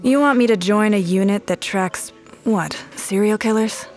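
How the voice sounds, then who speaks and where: An actress playing a sharp-eyed, no-nonsense female detective in a noir audio drama, deadpan and sardonic. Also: mid-range voice, normal speed, natural voice. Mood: cool, probing. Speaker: detective and narrator. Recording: music behind the voice.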